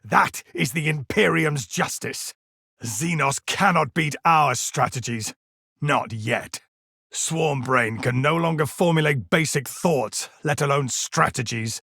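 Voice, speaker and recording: Gravelly, righteous space marine. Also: male voice, on the high side, takes it slowly, bright, forceful tone, no room sound, studio quality, full character voice. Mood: proud scorn. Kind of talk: video game combat voice lines.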